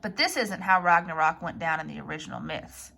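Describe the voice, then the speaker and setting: A woman reads an article aloud in a bright, crisp-edged voice that sits a little low and frays into creak. Her delivery is informal, like an unpolished read-through, with stumbles she corrects as she goes. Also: brisk. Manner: informal, stumbles over words and corrects herself. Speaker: reader of an article.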